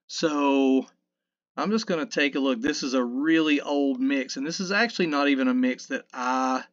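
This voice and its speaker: A man in his fifties with a low mid voice, casually talking through a mixing tutorial in a rambling, self-correcting, folksy way. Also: measured pace, dull audio. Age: 50s. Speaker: sound engineer teaching mixing. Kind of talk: casual screen-recorded tutorial. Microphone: dynamic vocal mic.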